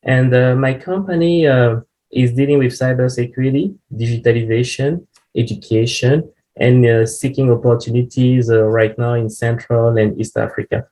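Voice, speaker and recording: Halting Cameroonian-born CEO on a webinar. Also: male voice, mid-range voice, fairly even intonation, warm tone, low-quality recording. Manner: earnest, halting with frequent fillers. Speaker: company CEO, webinar panelist. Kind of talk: webinar panel talk.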